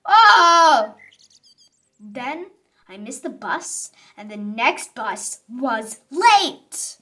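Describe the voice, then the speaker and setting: A woman's voice: sits high, sing-song, sharp tone, exaggerated voice. A woman voicing a dramatic little sour grape who tells its own story in a children's picture book read-aloud, with theatrical emphasis. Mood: exasperated. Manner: theatrical, exclaiming, drawn-out emphasis on words.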